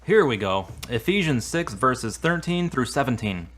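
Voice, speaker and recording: A man's voice: mid-range voice, very animated, moderate pace, a bit bright, faint music behind. An actor playing an earnest, devout man in a radio drama, speaking plainly and sincerely. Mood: earnest, solemn. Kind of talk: scripted radio-play dialogue.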